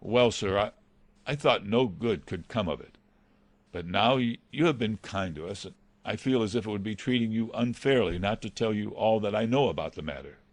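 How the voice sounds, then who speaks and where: A man's voice: lowish voice, normal speed, dry recording, natural voice. A man playing a loyal country-house butler in an audiobook, respectful, earnest and a little hesitant. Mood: hesitant, confiding. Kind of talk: audiobook character dialogue.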